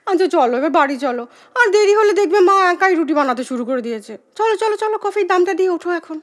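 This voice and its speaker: A woman's voice: extremely high pitch, expressive, fast talker. An actress playing a naive, childlike wife in a comic audio drama, coaxing and repeating her words in a playful, theatrical way. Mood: gleeful coaxing. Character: childlike, chirpy, naive wife, cheerfully wheedling her exasperated husband.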